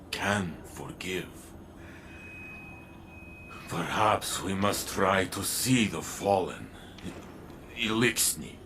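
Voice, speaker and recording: An actor playing a proud, remorseful veteran warrior in a video game, delivering a solemn monologue in short, deliberate phrases. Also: male voice, on the low side, sharp tone, slight background noise, exaggerated voice. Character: brooding male veteran Titan warrior, proud, remorseful, protective. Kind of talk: dramatic video game cutscene acting.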